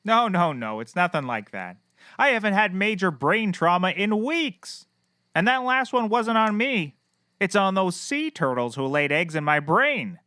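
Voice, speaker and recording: An actor playing a deluded, cheerfully oblivious private investigator who talks excitably and boastfully in a scripted comedy. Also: male voice, high-pitched, measured pace, dry recording, studio quality, full character voice. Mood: blithe. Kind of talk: scripted comedy audio acting.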